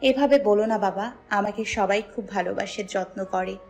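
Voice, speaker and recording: A woman playing a devoted, soft-hearted young daughter in an audio story drama. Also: mid-range voice, moderate pace, faint music behind, lightly acted. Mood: reassuring, wistful. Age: young adult.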